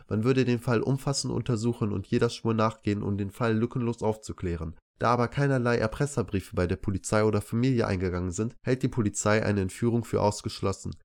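A television reporter in a story, played by a man reading aloud. The delivery is formal and factual, like a bulletin. The pitch is lifted above an everyday speaking level. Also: natural voice. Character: TV news reporter formally reporting a missing person.

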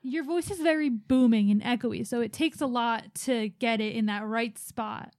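Dry-witted woman, slightly high voice. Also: normal speed, dry recording. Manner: calm, dry and teasing. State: audibly breathing. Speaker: podcast co-host and editor. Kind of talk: casual podcast chat. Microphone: studio podcast mic.

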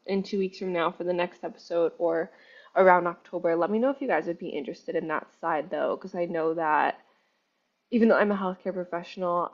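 Chatty woman host, slightly raspy, lo-fi. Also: fairly low pitch. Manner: chatty, upbeat, many "like" and "you know" fillers. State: slightly raspy from recovering from illness. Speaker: podcast host. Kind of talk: casual solo podcast chat. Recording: dull lo-fi sound.

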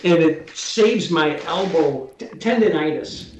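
A man's voice: fairly high pitch, expressive, takes it slowly, darkish tone, reverberant, lo-fi sound, a little hiss or hum. A man in his sixties, a drywall taper, chatting casually as an interview guest and explaining his work in hands-on detail. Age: 60s.

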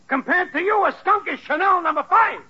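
An actor playing an excitable, sarcastic working-class pal in a 1940s radio sitcom, with punchy, ungrammatical wisecracks. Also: male voice, very high-pitched, fairly quick. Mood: scornful, outraged. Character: sarcastic, excitable working-class male buddy, easily riled. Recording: dull, noisy vintage radio recording.

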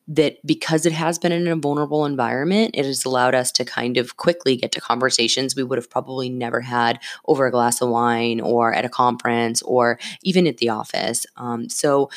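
A woman hosting a solo podcast in a casual, candid, emphatic way, often checking in with rhetorical questions, with a slightly scratchy voice. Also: very deep voice. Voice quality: slightly scratchy early-morning voice. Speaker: podcast host.

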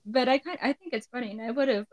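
A woman's voice: fairly high pitch, fast, dry recording. A woman hosting a podcast, reading her show intro and chatting with a guest, with a voice that sounds younger than she is. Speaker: podcast host. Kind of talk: podcast intro and casual interview.

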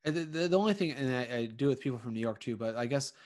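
Guy from connecticut just rambling along in casual chat, lots of likes and restarts, mid pitched. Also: animated, rapid-fire, a little mellow.

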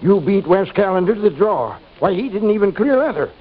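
An actor playing a jittery, chattering old saloon owner with an American accent, stammering fearfully in an old radio western. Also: male voice, high-pitched, very animated, brisk. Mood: frightened. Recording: muffled, faintly noisy old radio recording.

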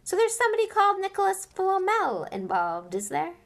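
A woman's voice: fairly high pitch, big pitch swings, normal speed, pitched above the performer's own voice. A woman voices an earnest young schoolboy wizard in a storybook reading. He speaks eagerly and a little raised.

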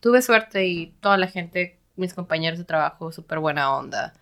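A young Mexican woman with a Mexican accent, chatting casually on a podcast as a guest and telling lively stories with frequent laughter. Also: lowish voice, moderate pace. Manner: animated storyteller, laughs often.

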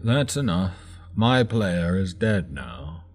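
A man voicing a calm, cruel male experimenter who speaks slowly and smugly. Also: sits low, faint music behind, exaggerated voice. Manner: slow, drawn-out, smug.

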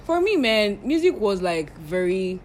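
A woman, co-hosting a podcast and telling stories in animated casual chat. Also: mid-range voice, measured pace, a little hiss or hum. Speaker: podcast co-host.

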